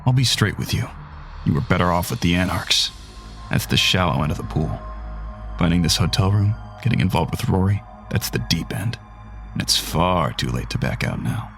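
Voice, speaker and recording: A man's voice: deep voice, expressive, normal speed, gravelly, a bit bright, faint music behind, exaggerated voice. A man playing a weary, guarded mortal gunman in an audio drama, blunt and world-weary in manner. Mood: grave, candid.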